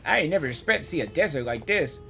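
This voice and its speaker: A woman's voice: low-pitched, animated, quick pace, vocal fry, loud music behind the voice. A woman gamer giving casual, exclamatory reaction commentary, often repeating herself. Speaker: gamer reacting to a game story.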